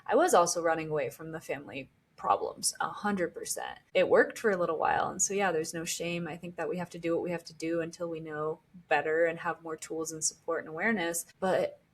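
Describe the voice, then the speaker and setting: A woman's voice: deep voice, fairly quick, hardly any pauses, breathy edge. Reflective young woman podcast host. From Costa Rica. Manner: reflective, warm and curious. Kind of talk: podcast interview.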